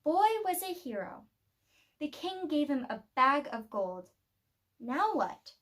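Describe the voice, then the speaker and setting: A woman reading a children's picture book aloud, telling the story expressively with deliberate pauses. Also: high-pitched, very animated, fairly bright tone. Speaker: storybook reader.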